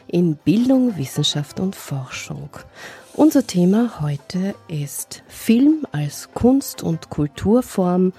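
A woman hosting a live radio talk show from a studio, introducing guests in a formal, measured way. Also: on the low side, mellow, husky.

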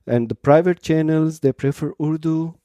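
Dark-voiced Pakistani man, thoughtful and halting. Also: a little high, no echo. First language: Pashto. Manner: explanatory, hesitant with fillers and restarts. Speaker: interview guest, doctoral student. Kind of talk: conversational interview answers.

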